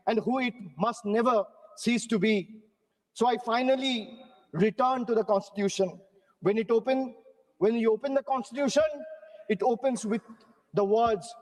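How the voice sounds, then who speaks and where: High-voiced man declaiming in a chamber. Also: long reverb tail, rough recording. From Bengal. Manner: rhetorical, declaiming in parallel phrases. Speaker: member of parliament. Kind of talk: parliamentary speech. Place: large parliament chamber.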